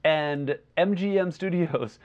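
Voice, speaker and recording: A man co-hosting a podcast in casual review chat, halting and often backtracking as he explains. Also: on the high side. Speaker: podcast co-host. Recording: dull lo-fi replacement microphone.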